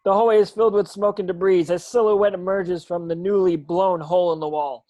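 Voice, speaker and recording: A man's voice: sits high, steady tone, brisk, dark tone. A man narrating stage directions from a script at a table read, sometimes tripping over words.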